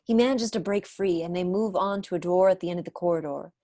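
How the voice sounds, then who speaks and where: A young woman narrates a screenplay from home on a video call. Her voice sits fairly low, and the sound is dry. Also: fast talker, warm tone, no echo. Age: young adult. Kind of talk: scripted narration, reading stage directions.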